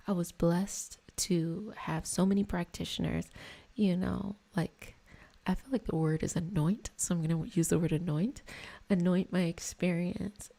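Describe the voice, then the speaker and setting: Low, creaky, mellow woman guiding gently. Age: adult over 25. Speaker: reiki practitioner and session host. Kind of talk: ASMR guided healing session with casual chat.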